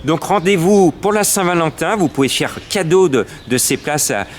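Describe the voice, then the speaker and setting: A man's voice: fairly high pitch, lively intonation, normal speed, slight background noise. A man organizing a theatre event, speaking in an upbeat, inviting promotional interview.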